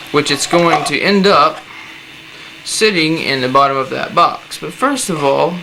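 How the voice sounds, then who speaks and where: A man presenting a casual, hands-on DIY tutorial with informal, slangy, laid-back phrasing. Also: on the high side. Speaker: presenter of a DIY how-to video. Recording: noticeable background noise.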